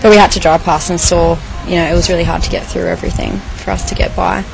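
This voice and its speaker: A woman with a fairly low voice and plenty of vocal fry gives casual interview answers. Her delivery is informal and conversational, and music plays behind her. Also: flat delivery, fast, noisy background. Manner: informal, conversational, uses "you know" often. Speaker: stranded tourist interviewee.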